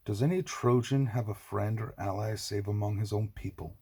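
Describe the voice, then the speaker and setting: A man voicing a proud, defiant exiled heir in an audiobook, controlled and firm, with a husky voice. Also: fairly low pitch, normal speed, dark tone. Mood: controlled anger.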